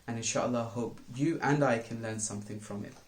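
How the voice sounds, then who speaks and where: A man's voice: medium pitch, brisk. Man storytelling in an echoey room. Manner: earnest, addresses listeners directly. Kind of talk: reading a children's bedtime story aloud. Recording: roomy, echoing sound.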